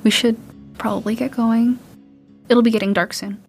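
A woman playing a grieving young daughter in a post-apocalyptic radio drama, speaking hesitantly and softly. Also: fairly high pitch, fairly even intonation, moderate pace, soft background music, lightly acted. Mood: sad. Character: grieving teenage daughter, survivor in a wasteland.

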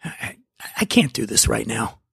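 A man's voice: on the low side, sing-song, normal speed, vocal fry, a bit bright, slightly rough, no echo, clean studio sound, voice pushed down, heavily acted. A man playing a drunk, despairing older brother who is broke and defeated, in a screenplay reading. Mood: weary, exasperated. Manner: weary, profane, defeated.

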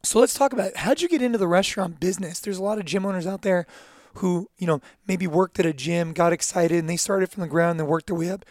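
A man hosting an interview aimed at gym owners, asking questions in a quick, eager way. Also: high-pitched, a little mellow, no echo. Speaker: podcast host for gym owners. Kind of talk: interview host.

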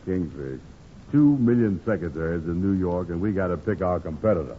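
An actor playing a gullible, easily flustered sidekick in an old radio comedy, grumbling in folksy dialect grammar. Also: male voice, low voice, animated, brisk. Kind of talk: 1940s-50s radio comedy acting. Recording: muffled, hissy old radio recording.

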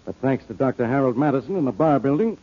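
An actor playing a gruff, sarcastic police inspector in an old-time radio detective drama, blunt and needling with a rough-edged voice. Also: male voice, medium pitch, animated, fast talker, muffled recording. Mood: exasperated, accusing. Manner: blunt, needling, hard-boiled delivery.

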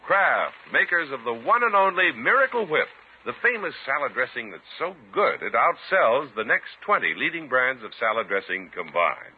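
Animated 1950s radio announcer man, tinny sound. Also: medium pitch, normal speed, faint music behind. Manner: polished, emphatic salesmanship. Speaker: radio announcer and sponsor spokesman.

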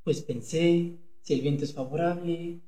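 A man's voice: fairly high pitch, steady tone, measured pace, warm tone, roomy sound, slight background noise, natural voice. A man playing a cowardly, boastful henpecked husband in a comedic radio play, hesitant one moment and blustering the next. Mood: startled, timid. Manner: hesitant stammering, then blustering.

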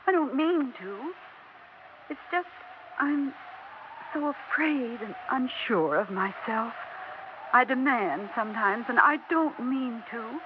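Older widow, anxious and accusing, swinging pitch, vintage radio play. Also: female voice, noisy background. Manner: slow, pleading, bitter. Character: anxious elderly widow Agatha, bitter yet pleading. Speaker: wife and mother. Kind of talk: 1960s radio drama acting. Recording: dull vintage radio recording.

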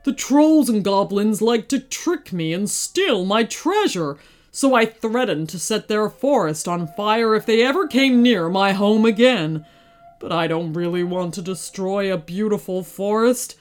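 A woman voicing a huge, bitter, wary dragon in a children's story, snapping out slow, emphatic words. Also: mid-range voice, lively intonation, close to an everyday voice. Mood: grudging, apologetic.

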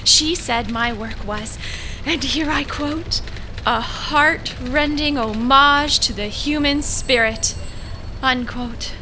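A woman's voice: sits high, takes it slowly, noisy background. A woman playing an intense, needy, eccentric would-be writer in an audio drama, with an earnest, dramatic, insistent delivery. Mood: earnest, proud.

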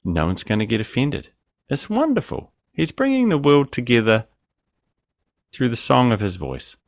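A man's voice: mid-range voice, wildly swooping pitch, moderate pace, no echo, clean studio sound. A man co-hosting a podcast by remote call, joking crudely and swinging between mumbling and exclaiming. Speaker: podcast co-host. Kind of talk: casual podcast banter. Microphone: remote call mic.

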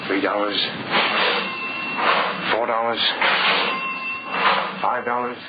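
A man's voice: fairly low pitch, fairly even intonation, takes it slowly. An actor playing a man intoning sums of money in a 1930s radio drama. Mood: intent. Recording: very noisy, muffled old radio recording.

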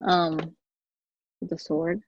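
A woman's voice: lowish voice, level tone, slow pace, no echo, highs cut off. A woman guest on a podcast, chatting in an easygoing, giggly way with lots of fillers. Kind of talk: casual chat.

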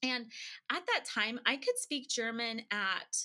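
Bright, high-voiced chatty woman. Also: expressive, a little slow. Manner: chatty, laughs easily. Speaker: podcast guest. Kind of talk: casual anecdotal storytelling in an interview.

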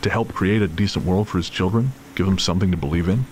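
A man's voice: sits low, fast talker, slight background noise, rough recording. A man narrating a scripted audio drama as storyteller.

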